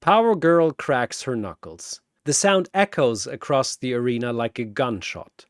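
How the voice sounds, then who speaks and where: A man narrates a battle story with theatrical emphasis. His pitch swings widely while the pace stays slow and deliberate, in a very dry recording. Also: animated, no room sound. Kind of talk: dramatic scripted battle narration.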